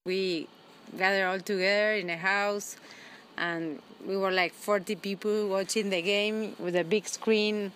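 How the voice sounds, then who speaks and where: A woman's voice: medium pitch, a bit bright, a little hiss or hum. A middle-aged woman from Argentina with an Argentine Spanish accent, speaking hesitantly in an interview, a Spanish speaker. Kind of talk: casual interview.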